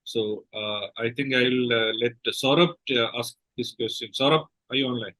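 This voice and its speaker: A man moderating an online Q&A, briskly passing questions along. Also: medium pitch, steady tone, pushed and bright, dry recording, poor audio. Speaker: session moderator.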